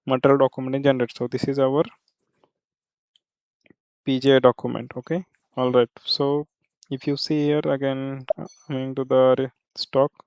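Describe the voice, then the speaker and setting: A man working as a software trainer, explaining a screen walkthrough step by step in a halting way with repeated check-in tags. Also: mid-range voice, completely flat delivery, clear, resonant tone, no room sound, lo-fi sound.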